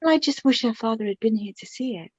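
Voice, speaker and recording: A middle-aged woman playing a chatty, wistful widowed mother of the bride in a naturalistic radio play, speaking in a halting, reflective, conversational way. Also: on the high side, expressive, darkish tone, breathy edge, dry recording, dull audio. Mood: friendly, weary.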